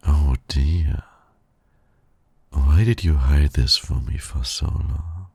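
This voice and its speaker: A man playing a suave, flirtatious villain who teases and courts his nemesis in an intimate ASMR roleplay, with a husky, gravelly, breathy voice. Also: a bass voice, no room sound, exaggerated voice. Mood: teasing, amused. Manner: slow, breathy, suave teasing with soft chuckles.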